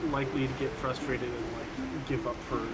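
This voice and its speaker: A young man in casual gaming voice chat, speaking haltingly and repeating himself as he goes. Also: mid-range voice, steady tone, poor audio. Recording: voice chat under game music.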